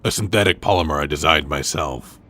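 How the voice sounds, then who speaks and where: A man acting a stern, righteous masked vigilante in a motion comic, terse and grave with a raspy voice. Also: extremely low pitch, a little fast, pushed and bright, full character voice. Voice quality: raspy, husky.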